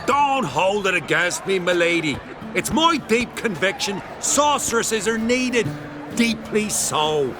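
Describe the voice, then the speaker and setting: An actor playing a brash, boisterous island jarl in a video game, blunt and rough-mouthed, with a folksy dialect. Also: male voice, high-pitched, animated, slow, a crowd in the background. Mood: hearty, boisterous. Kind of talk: video game character acting. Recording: loud music underneath.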